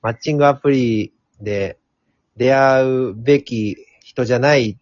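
A man chatting casually in a polite, halting way after a show. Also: mid-range voice, a little mellow, no room sound. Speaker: amateur voice actor. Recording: dull lo-fi recording.

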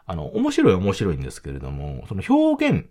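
Male podcast host, low register with very lively intonation, polite presenter phrasing in casual talk. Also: fairly quick. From Kansai, Japan. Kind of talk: casual solo chat to listeners.

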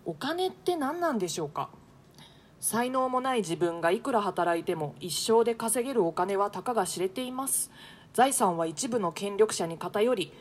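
A woman hosting a radio show in a polite, chatty, casual way. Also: medium pitch. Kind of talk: casual co-host chat.

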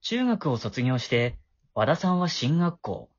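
A man acting a shy, high-voiced schoolboy. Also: very animated, a little slow, dry recording, highs cut off. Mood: wistful narration. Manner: flustered, stammering. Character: shy, awkward middle-school boy with a crush. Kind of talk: amateur voice drama acting with narration.

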